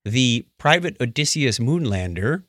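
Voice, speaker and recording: A man's voice: medium pitch, very animated, a little slow, a bit bright, no room sound, clean studio sound. A man chatting casually about science news as a podcast co-host, with wry, joking asides.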